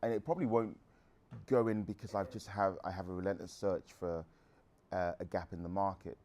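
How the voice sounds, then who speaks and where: A man's voice: low voice, darkish tone. A man in his thirties from England, a guest entrepreneur answering audience questions in a frank, rambling way with restarts. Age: 30s.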